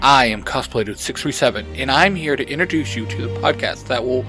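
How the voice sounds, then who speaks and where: A man's voice: medium pitch, fairly quick. Man hosting a show over music. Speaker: host and podcaster. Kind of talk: scripted show intros and promos. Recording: music behind the voice. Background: background music.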